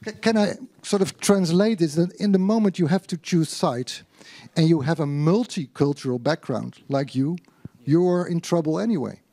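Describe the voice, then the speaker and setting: Mellow-voiced male moderator keeping things on track. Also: on the high side. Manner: brisk, steering the discussion. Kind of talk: moderating a panel discussion. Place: public debate hall.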